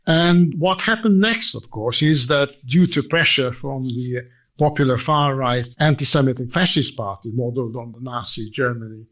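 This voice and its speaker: A man in his eighties with a Hungarian accent tells his personal story as an interview guest, speaking in a measured, formal and articulate way. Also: medium pitch, a bit bright. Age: 80s. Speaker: interview guest, survivor giving testimony.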